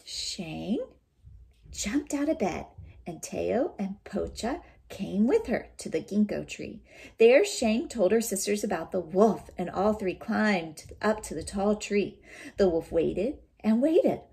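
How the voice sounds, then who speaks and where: A woman teacher giving an expressive, dramatic storybook read-aloud, pausing to put questions to young listeners. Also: medium pitch, vocal fry.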